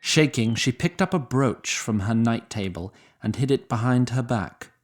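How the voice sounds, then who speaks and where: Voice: mid-range voice, expressive, normal speed, close to an everyday voice. A performer serving as the composed third-person narrator of a scripted fantasy audio drama.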